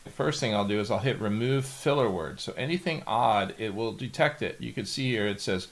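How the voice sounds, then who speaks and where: Middle aged guy, lowish voice, walking thru software steps real casual. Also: brisk. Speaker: tutorial host. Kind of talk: casual step-by-step software tutorial. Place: indoor home office.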